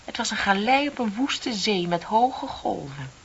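A woman's voice: medium pitch, very animated, unhurried. Chatty, dreamy office woman, hissy recording. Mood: musing. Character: chatty, dreamy younger female office clerk. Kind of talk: Dutch radio play acting. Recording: dull, hissy old radio recording.

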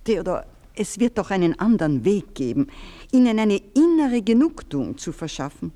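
An older noblewoman, played by a woman, coaxing and pleading in a mellow, warm-toned voice. Her pitch rises and falls lively as she tries to calm things down. A radio-play baroness, anxious and placating. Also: moderate pace, natural voice. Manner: soothing, placating, then pleading.

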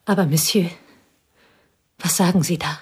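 A woman's voice: lowish voice, steady tone, normal speed, a little mellow, breathy edge. An actress playing a young woman who was the loyal confidante of the dead wife, earnest and pleading in a radio play. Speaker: actor in radio drama.

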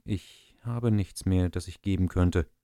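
A worried young miller's daughter turned queen read by a man speaks softly and slowly. His voice stays low and mellow, recorded dry and close. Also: smooth, no echo.

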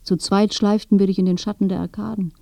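A woman's voice: medium pitch, flat delivery, fast talker, gravelly, dark tone, no room sound, close to an everyday voice. An actress playing a weary, disillusioned dead old village woman in a German radio play, matter-of-fact and muted. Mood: dry, indifferent. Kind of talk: German radio play acting, intimate dialogue.

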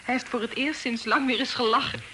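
An actress playing a proud, guarded young daughter of a fugitive in a period radio drama, speaking formally with spirit. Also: female voice, fairly high pitch, fairly even intonation, a little fast, sharp tone. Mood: courteous. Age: young adult. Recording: noisy old radio drama recording.

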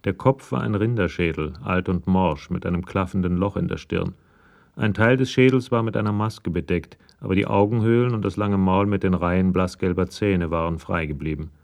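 A man narrating a radio crime drama from a script in a measured, matter-of-fact way. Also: deep voice, creaky, a little mellow. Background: music with drums.

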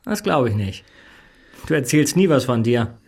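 A nosy male friend in an audio drama. He has a medium-pitched voice and probes with joking, teasing questions. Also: sing-song, moderate pace, gravelly, darkish tone. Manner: probing, joking.

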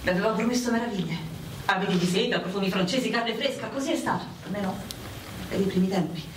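A woman's voice: mid-range voice, quick pace, lightly acted. Woman acting proud brothel prostitute, brash, worldly, theatrical radio drama delivery, roomy sound, heavy background noise. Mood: bitter. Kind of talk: dramatic radio play dialogue. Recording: roomy, distant mic with loud background noise.

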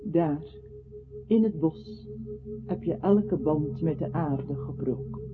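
An earnest narrator in a radio drama, reciting lyrically in a high-pitched man's voice. The recording is thin and phone-like, with some music behind. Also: slow pace. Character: earnest, lyrical male narrator recounting a composer's life.

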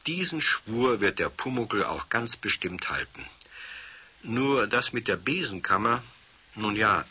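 An actor playing a kindly narrator of a children's radio play, reading scripted storytelling in a husky voice. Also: male voice, fairly low pitch, bright, forceful tone, full character voice. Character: kindly storybook narrator man, gently amused.

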